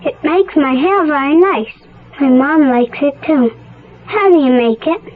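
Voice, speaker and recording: Old muffled radio ad, lady doing little girl reading slow, high earnest voice. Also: lively intonation. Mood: earnest, naive. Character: earnest, naive young girl letter writer. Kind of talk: radio commercial testimonial.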